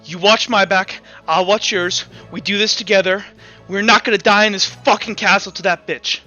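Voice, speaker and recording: Resolute woman comrade in a fantasy game, played by a man: raised pitch, urgent, blunt, forceful, scared yet determined. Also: steady tone, moderate pace, dull audio, soft background music. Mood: urgent resolve.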